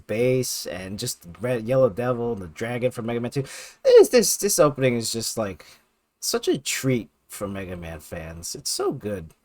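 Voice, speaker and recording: Excitable man, close dry sound. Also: medium pitch, no room sound. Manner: gushing, excitable, swearing, emphatic repetition. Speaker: video creator presenting a top five list. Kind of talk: casual enthusiastic game commentary.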